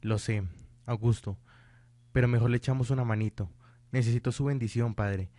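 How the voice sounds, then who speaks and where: A man acting an earnest, worried doctor in a student radio drama, speaking gravely and deliberately. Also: medium pitch, steady tone, warm tone, no room sound, close to an everyday voice. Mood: grave, urgent. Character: earnest doctor and worried father plotting justice.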